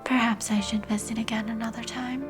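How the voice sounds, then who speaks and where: A woman playing a reserved, polite vampire heir in an ASMR roleplay, with a whispery, breathy voice and a guarded, formal manner. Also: on the high side, flat delivery, fairly quick, over music, lightly acted. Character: reserved female vampire heir who shuns crowds.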